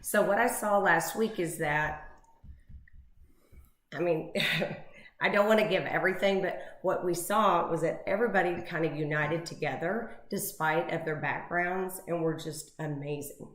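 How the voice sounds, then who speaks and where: A low-pitched woman talks in a loose, chatty way, full of "you know" and restarts. Her voice is worn and fading, and she sounds as if she is in an echoey room. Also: unhurried, fairly bright tone. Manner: chatty, emotional, rambling with many fillers. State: losing her voice. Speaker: co-host of a real estate talk show. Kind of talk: casual chat.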